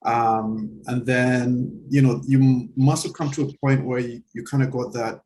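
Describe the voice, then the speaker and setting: A man with a middling voice hosts a relaxed interview. He encourages his guest with frequent "mm-hmm" and rephrases his questions as he speaks. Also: mid-range voice, measured pace, slight background noise, poor audio. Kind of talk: conversational interview.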